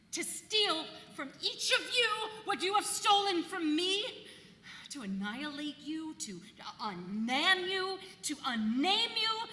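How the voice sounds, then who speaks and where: A woman's voice: fairly high pitch, sharp tone, exaggerated voice. Woman acting a piercing, defiant accused witch-wife. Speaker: stage actress. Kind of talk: live dramatic reading of a play. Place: live reading in a church venue. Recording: echoey hall, faint background noise.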